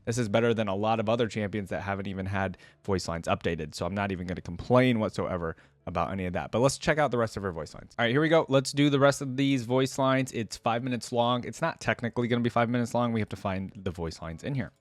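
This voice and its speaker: A man's voice: on the low side, fast talker, hardly any pauses, no room sound. A young man giving casual reaction commentary as a video host, thinking aloud in rambling, loose asides.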